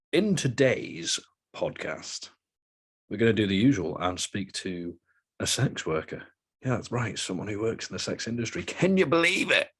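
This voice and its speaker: A British man hosting a podcast in a chatty, joking, rambling way. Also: fairly low pitch. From Britain. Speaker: podcast host. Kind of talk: casual podcast chat.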